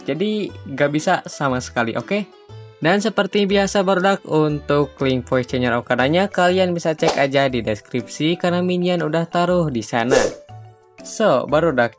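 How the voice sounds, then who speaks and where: Casual young man over music. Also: on the high side. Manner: informal, chatty, slangy. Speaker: YouTube tutorial host. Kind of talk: casual screen-recording tutorial narration. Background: background music.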